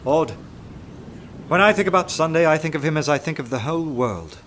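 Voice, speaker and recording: An actor playing an earnest, awestruck detective who narrates a radio drama in an intense, dramatic manner. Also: male voice, fairly high pitch, expressive, a little fast, slight background noise. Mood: agitated. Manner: intense, dramatic, hushed at times.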